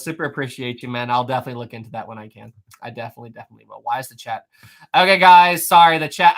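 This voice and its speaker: Rambling, animated male live-streamer. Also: mid-range voice. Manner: rambling, animated, laughs often, many fillers and restarts. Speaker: history YouTuber hosting a live stream. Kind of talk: casual live-stream chat reading super chats.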